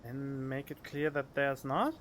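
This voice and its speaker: Slow, blunt male game streamer. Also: steady tone, a bit bright. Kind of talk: casual let's play commentary. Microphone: studio condenser microphone. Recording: faint noise and low rumble.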